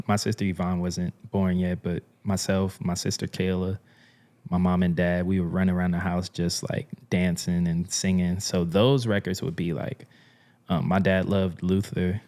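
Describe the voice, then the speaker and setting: A man's voice: deep voice, darkish tone. A young man from New Orleans, a drummer and music professor, telling stories in a casual, slangy podcast interview. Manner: laid-back, slangy, laughs often.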